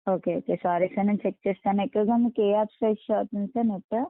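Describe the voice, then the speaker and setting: A woman handles a customer support call at a brisk, quick pace. She is polite, apologetic and reassuring, addressing the caller deferentially. The sound is a narrow, tinny telephone recording, close and dry. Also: mid-range voice, steady tone, no room sound. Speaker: customer care agent. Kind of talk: phone customer support, polite scripted phrases. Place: on a phone line.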